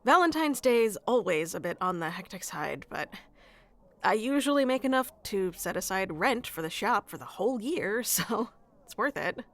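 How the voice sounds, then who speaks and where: A woman playing a sweet, flustered florist secretly in love with a best friend, in an intimate audio roleplay with a hesitant, soft-hearted manner. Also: mid-range voice, lively intonation, moderate pace. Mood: friendly, teasing. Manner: hesitant, soft-hearted, breaking into nervous chuckles and sighs. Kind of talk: intimate ASMR roleplay acting.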